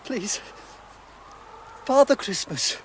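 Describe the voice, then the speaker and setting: Timid, high-voiced servile creature. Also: male voice, expressive, drawn-out words, heavy background noise, exaggerated voice. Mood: fearful. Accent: British. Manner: hesitant, stammering, servile. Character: timid, deferential male creature pleading with a queen. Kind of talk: dramatic radio play acting.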